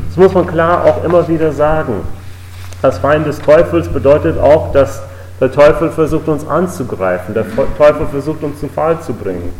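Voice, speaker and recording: A man's voice: a little high, moderate pace, a little mellow. A man from the United States preaching an earnest, emphatic sermon in a church, with repeated declarations. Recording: echoey hall, voice a bit distant.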